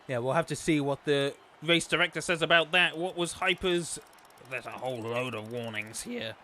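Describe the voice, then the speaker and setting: A man's voice: a little high, moderate pace, sharp tone. A man commentating a sim racing league on a live stream, chatty and rambling, losing his voice. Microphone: desktop microphone.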